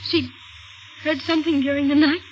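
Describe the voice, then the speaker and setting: A woman's voice: high voice, steady tone, moderate pace, natural voice. An actress playing a frightened, shaky young woman in a 1950s radio mystery, stammering and repeating herself. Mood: anxious, shaken. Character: frightened, shaky young woman insisting she saw a corpse. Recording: noisy, muffled old radio recording.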